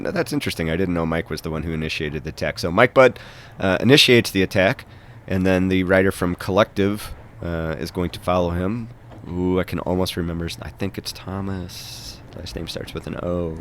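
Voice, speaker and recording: A man's voice: low voice, lively intonation, normal speed, fairly bright tone. A man with a head cold, stuffed up, chatting casually and rambling a bit as he narrates a recap of his own bike race. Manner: chatty, rambling, self-deprecating.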